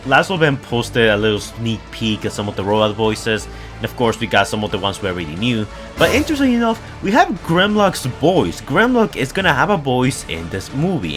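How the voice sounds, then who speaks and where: A man's voice: medium pitch, a bit bright. A man hosting a YouTube video, giving enthusiastic, informal fan commentary on movie news. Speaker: YouTube host. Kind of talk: casual movie news commentary, partly scripted. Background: background music.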